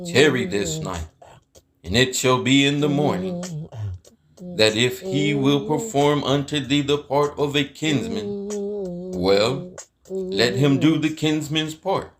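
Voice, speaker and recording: A man playing a kindly, honourable landowner who speaks formally and deliberately in a dramatized scripture story. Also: medium pitch.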